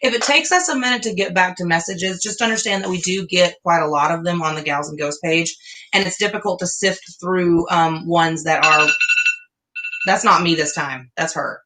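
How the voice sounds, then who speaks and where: A woman's voice: lowish voice, moderate pace, sharp tone, a little hiss or hum, low-quality recording. Chatty woman in her thirties. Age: 30s. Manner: chatty, self-deprecating, rambling with fillers. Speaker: podcast co-host. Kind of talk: casual live Q&A chat.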